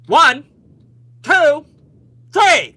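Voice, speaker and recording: A man's voice: very high-pitched, very animated, drawn-out words, pushed and bright, heavily acted. A male actor playing a brash, stubborn young husband in an old-time radio comedy, emphatic and blustering.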